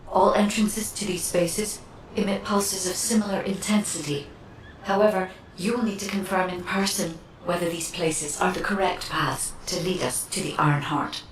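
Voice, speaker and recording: A woman's voice: lowish voice, moderate pace. A woman voicing a composed, otherworldly guide in a video game, formal and precise, with an echoing effect. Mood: serene, analytical. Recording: echoing, distant, faint noisy ambience.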